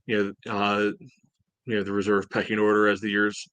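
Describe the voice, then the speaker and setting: A man gives casual sports analysis in a fairly low voice. His intonation stays flat while his phrasing is loose and conversational. The sound is close and dry. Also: normal speed, clear, resonant tone, dry recording, highs cut off. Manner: conversational, peppered with "you know". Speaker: soccer podcast co-host.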